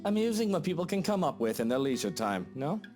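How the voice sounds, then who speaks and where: A woman's voice: sits low, sing-song, fast talker, over music, pitched below the performer's own voice. A woman voicing an irate, haughty upper-class man, speaking in a pompous, curt and condescending way for a visual novel. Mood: mocking, smug. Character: irate, haughty, sharp-witted British upper-class husband.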